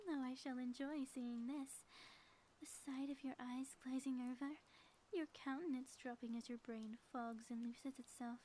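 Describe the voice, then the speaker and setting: Woman acting a high-voiced, grandiose, gloating villainess. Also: fairly even intonation, measured pace, mellow, a little hiss or hum, poor audio. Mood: smug, gloating. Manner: grandiose, florid, melodramatic phrasing. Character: theatrical gloating ex-villain woman, secretly affectionate spouse. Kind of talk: scripted ASMR audio roleplay.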